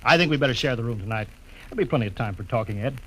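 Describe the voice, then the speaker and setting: An actor playing a homesick rocket captain in a 1950s radio drama, eager and nostalgic. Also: male voice, medium pitch, lively intonation, racing, bright, forceful tone, slight background noise, poor audio.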